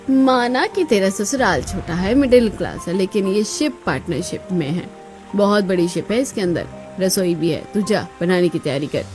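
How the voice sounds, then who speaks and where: A woman voicing sharp-tongued, bossy female family members in a Hindi cartoon story. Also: medium pitch, expressive, moderate pace, faint music behind, natural voice. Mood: bossy, scornful.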